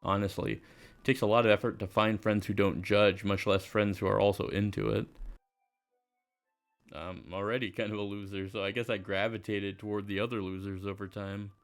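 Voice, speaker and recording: Man playing a gravelly, easygoing schoolboy. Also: on the low side, little pitch movement, normal speed, natural voice. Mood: awkward, friendly. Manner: casual, hesitant, reflective. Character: easygoing, self-deprecating teenage schoolboy narrator. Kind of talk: first-person visual novel narration and dialogue.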